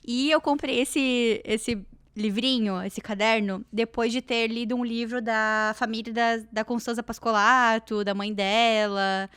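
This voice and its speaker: A woman's voice: on the high side, fairly even intonation, unhurried, hardly any pauses, fairly bright tone, clear, resonant tone, dry recording. A woman, hosting a podcast interview in an encouraging way. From Brazil. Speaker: podcast host. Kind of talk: casual interview chat. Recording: dry close sound.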